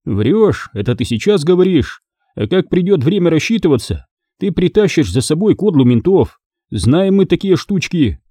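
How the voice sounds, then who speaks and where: A cold-blooded gangster from a crime audiobook, acted out by a man. He taunts and domineers with sardonic menace, his mellow voice moving freely up and down. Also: on the high side, sing-song, moderate pace, no echo, clean studio sound, voice pushed up. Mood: mocking menace. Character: menacing male thug, mocking, cold-blooded interrogator.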